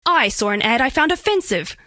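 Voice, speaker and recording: A woman's voice: high voice, lively intonation, a little fast, pushed and bright, dry recording, studio quality. A woman voicing a bashful, flirtatious female alien made of living diamond in a video game, speaking in precise, oddly formal, earnest phrases. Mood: flirty, earnest. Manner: precise, stilted formal diction, earnest, coyly playful. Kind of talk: scripted game character voice lines.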